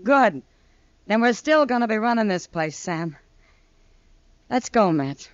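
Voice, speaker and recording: A woman's voice: medium pitch, big pitch swings, a little slow, no room sound. An actress playing a proud, forthright American saloon woman in a 1950s radio western. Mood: relieved. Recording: muffled old radio recording.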